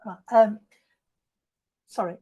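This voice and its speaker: A woman's voice: slow, mellow, dull audio. A middle-aged woman chatting casually as a reader on a book club video call. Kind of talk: casual chat.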